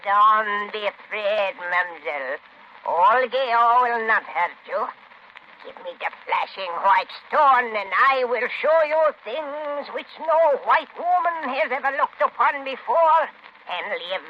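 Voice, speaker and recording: A woman's voice: fairly high pitch, animated, measured pace. An actress playing a sly, superstitious old servant woman of a voodoo master, in theatrical, archaic 1930s radio style. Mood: sly, beckoning. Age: older. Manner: theatrical, archaic, exclaiming speech. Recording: muffled, noisy 1930s radio broadcast.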